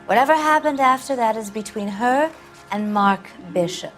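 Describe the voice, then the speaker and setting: A woman's voice: on the high side, normal speed, a bit bright, faint music behind, lightly acted. A woman playing a vain, brassy, evasive American film actress of the 1940s in a video game drama, with a haughty, teasing, theatrical delivery. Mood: evasive, dismissive.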